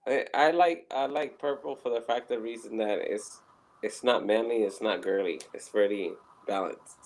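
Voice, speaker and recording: A man's voice: lowish voice, normal speed, low-quality recording. A man with a Puerto Rican accent, co-hosting a podcast in casual, rambling, profane chat. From Puerto Rico. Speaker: podcast co-host.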